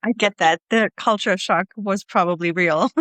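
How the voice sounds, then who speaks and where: A woman's voice: mid-range voice, unhurried, fairly bright tone, dry recording. A woman, hosting a podcast and chatting with a guest, rambling and laughing often. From Germany. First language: German. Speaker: podcast host. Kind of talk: casual interview chat.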